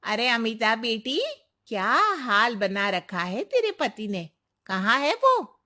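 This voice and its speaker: Casting: actress as chatty neighbourhood lady for a cartoon, high-pitched, exclamatory, familiar. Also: big pitch swings, slow pace, bright, forceful tone, exaggerated voice. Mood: concerned. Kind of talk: Hindi cartoon moral story acting.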